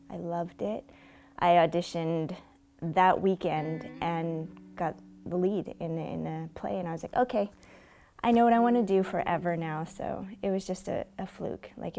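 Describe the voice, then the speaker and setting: A woman giving a candid personal documentary interview in halting, piecemeal phrases. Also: fairly low pitch. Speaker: theatre performer. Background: soft guitar music.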